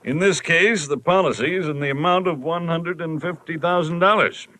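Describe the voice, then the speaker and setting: Bright, stuffy, agitated company executive. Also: male voice, fairly high pitch, moderate pace. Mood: agitated, emphatic. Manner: proper, emphatic, hesitant. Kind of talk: 1940s radio acting. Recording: noisy vintage radio recording.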